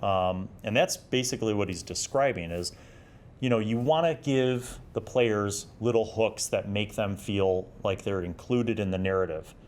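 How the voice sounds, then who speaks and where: A man's voice: fairly low pitch, moderate pace, darkish tone, slight background noise. A man in his forties giving casual, reflective commentary as a host, moving between reading aloud and conversational talk. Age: 40s. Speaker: host of a retro gaming review series.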